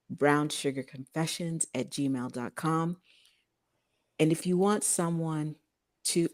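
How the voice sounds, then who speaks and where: A woman with a Texas accent co-hosting a casual radio talk show, speaking emphatically and deliberately. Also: low voice, a little mellow, no echo, studio quality. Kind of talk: casual chat with promotional read-outs.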